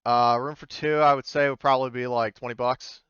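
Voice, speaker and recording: A man's voice: mid-range voice, steady tone, fairly quick, a bit bright, clean tone, dry recording, muffled recording. A man narrating an improvised tabletop game as game master, tentative and often thinking aloud. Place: indoors, online voice call.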